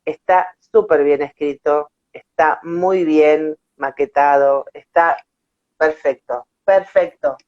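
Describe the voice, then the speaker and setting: A woman hosts an online interview at a slow pace, in an effusive way, repeating words for emphasis. The audio is a dull, lo-fi internet call. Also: sits low, fairly even intonation. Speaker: host of an online interview show. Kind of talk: warm personal introduction and interview chat.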